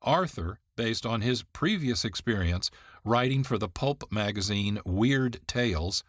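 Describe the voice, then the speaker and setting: A man's voice: lowish voice, animated, measured pace, no room sound, clean studio sound. A man narrating the history of an old radio series as the host. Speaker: program host. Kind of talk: scripted narration.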